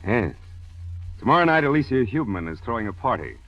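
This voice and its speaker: An actor playing a businesslike American government intelligence chief, brisk and dry, in a 1940s radio drama. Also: male voice, medium pitch, very animated. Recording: muffled vintage radio broadcast.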